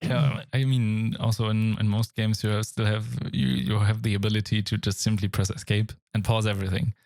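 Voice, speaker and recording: A man's voice: lowish voice, little pitch movement, brisk, a little mellow, dry recording. A young German man with a German accent, co-hosting a casual games podcast in a thoughtful, searching way. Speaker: podcast co-host. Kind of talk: casual chat.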